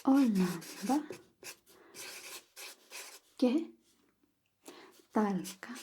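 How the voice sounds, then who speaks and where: A woman whispering softly and playfully as a language tutor in an ASMR roleplay. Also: a little high, animated, very slow, slight background noise. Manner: soft whisper, playful teasing.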